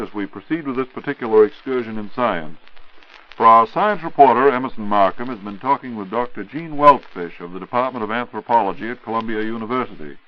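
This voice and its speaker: Low-voiced American man, vintage radio announcer. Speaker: announcer and interviewer. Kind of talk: scripted 1940s radio hosting. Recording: dull 1940s radio recording.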